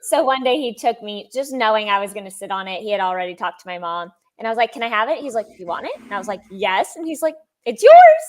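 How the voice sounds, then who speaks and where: A young woman with a high-pitched voice, telling her story as an interview guest in a chatty way, laughing often and acting out quotes. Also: sing-song, fast, few pauses, a little hiss or hum. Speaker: sponsored athlete guest.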